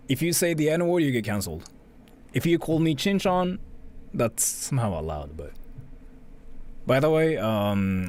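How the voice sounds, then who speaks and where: A man chatting casually as a livestream host, rambling and haltingly repeating himself. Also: medium pitch. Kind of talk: casual livestream chat and reaction commentary.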